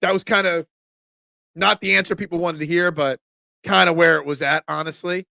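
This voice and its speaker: A man, congested from a cold, hosting a casual sports talk stream, excitable and opinionated, often restarting his sentences. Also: fairly high pitch, moderate pace, vocal fry, no echo, studio quality. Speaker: sports talk show host. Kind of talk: casual live-stream sports talk, reading chat comments.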